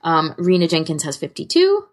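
A woman with a slightly low voice co-hosts a podcast. She talks in a casual, conversational way, as in an informal chat. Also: wildly swooping pitch, normal speed. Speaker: podcast co-host.

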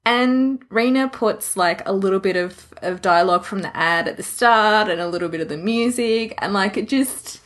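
A woman's voice: medium pitch, normal speed, few pauses, fairly bright tone. A woman co-hosting a podcast in casual chat, giving recommendations in a playful, jokey way. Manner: playful, jokey, prone to laughing. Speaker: podcast co-host. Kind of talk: casual chat, recommending fan fiction recordings.

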